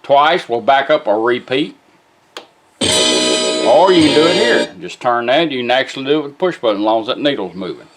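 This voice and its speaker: A man's voice: medium pitch, lively intonation, moderate pace. Plainspoken man demonstrating, music playing behind. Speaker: presenter demonstrating restored vintage car radios. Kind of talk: casual hands-on product walkthrough. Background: music from the demonstrated radio.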